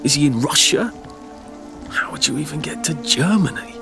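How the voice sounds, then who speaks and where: A polite English adventurer in a game, anxious yet wry. His slightly high voice swings in pitch and has a creaky edge, with music behind it. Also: animated, normal speed. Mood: doubting, musing. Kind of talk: video game cutscene acting.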